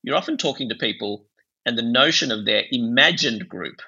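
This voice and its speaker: A man's voice: medium pitch, expressive, moderate pace, pushed and bright, slightly rough, muffled recording. A man from Bangladesh speaking as a psychiatrist guest in an interview, explaining ideas in a discursive, intellectual way.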